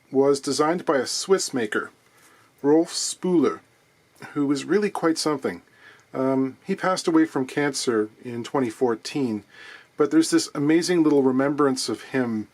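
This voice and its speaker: A man hosting his guitar repair vlog from his workshop, talking in a wry, chatty, digressive way. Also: medium pitch. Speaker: guitar repair luthier and channel host.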